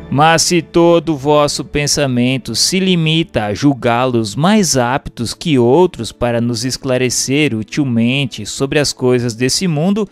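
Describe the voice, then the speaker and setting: Higher-pitched man narrating, lively intonation. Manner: formal, didactic, measured phrasing. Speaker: narrator reading a spiritist text. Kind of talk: scripted narration, audiobook-like reading.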